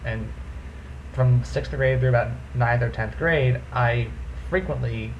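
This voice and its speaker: A young man with a deep voice hosting a casual vlog, talking animatedly and swinging his pitch up and down for emphasis. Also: measured pace, lo-fi sound, slight background noise. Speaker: vlogger host.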